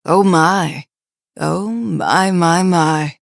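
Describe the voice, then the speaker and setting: A woman voicing a loyal, skeptical scholar's assistant in a video game, with formal, deliberate and theatrical speech. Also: lowish voice, creaky, no echo, full character voice. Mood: suspicious. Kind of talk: dramatic video game voice acting.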